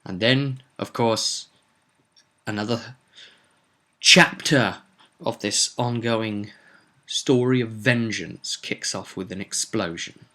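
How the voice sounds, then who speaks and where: A man with a fairly low, sharp-edged voice recounts a roleplaying campaign as game master. He tells it like a dramatic story, stressing key words and leaving deliberate pauses. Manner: theatrical, emphatic, with deliberate pauses.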